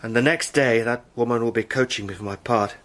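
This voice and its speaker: A man's voice: mid-range voice, brisk, fairly bright tone, natural voice. A man playing a haunted British adventurer caught up in a plot, speaking intensely and stammering under strain in a radio drama. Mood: resolved. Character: Sandy, haunted British adventurer in disguise, anguished.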